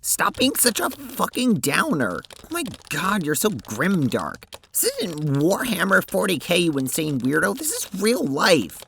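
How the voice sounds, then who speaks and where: An irritable girl, acted by a woman, with a low voice that swings wildly in pitch. She is blunt, exasperated and quick to swear, as a character in an audio drama, with a faintly noisy recording. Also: wildly swooping pitch, creaky, exaggerated voice. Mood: exasperated, angry. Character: irritable, foul-mouthed girl shaken by her strange dreams.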